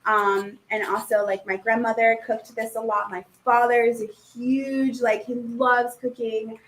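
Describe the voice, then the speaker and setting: A woman's voice: a little high, little pitch movement, a little slow, a bit bright. A woman leading a live cooking demo in a kitchen, chatty and upbeat, with lots of fillers. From Puerto Rican family. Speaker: cooking instructor.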